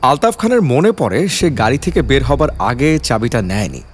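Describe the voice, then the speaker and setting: Expressive male suspense narrator. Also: mid-range voice, brisk. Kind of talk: dramatic scripted story narration.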